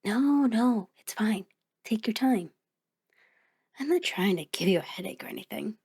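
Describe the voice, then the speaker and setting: A woman's voice: mid-range voice, animated, normal speed, a little mellow, husky. A woman voicing a sentient mirror reflection in an ASMR audio roleplay, speaking directly to the listener in a conversational, hesitant, explanatory way. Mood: chatty, reassuring. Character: sentient female mirror reflection, sheepish, chatty, patient explainer.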